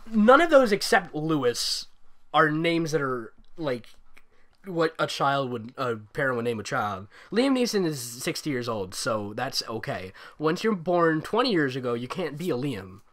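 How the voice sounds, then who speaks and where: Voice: mid-range voice, very animated, unhurried, fairly bright tone. A host reading fan fiction aloud in a giggly, joking, mock-enthusiastic way, with comic commentary.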